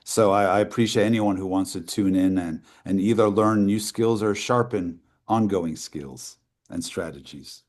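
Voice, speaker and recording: Casting note: man co-hosting a webinar, fairly low, measured. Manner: measured, refers to journalism. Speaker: webinar co-host. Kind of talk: casual video call chat. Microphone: video call.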